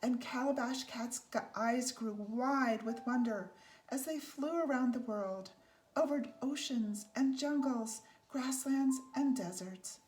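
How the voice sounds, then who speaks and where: A woman with a slightly high voice narrates a children's picture book. Her storytelling is measured and deliberate, with certain phrases given extra weight. There is faint background noise in the recording. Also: a little mellow. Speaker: storybook reader.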